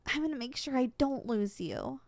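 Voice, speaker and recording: Casting: female actor for sweet, flustered succubus student, high lively voice, ASMR roleplay. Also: moderate pace, vocal fry, dry recording. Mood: earnest, apologetic. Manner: expressive, flustered, coaxing. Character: eager young succubus trainee, sweet and easily flustered.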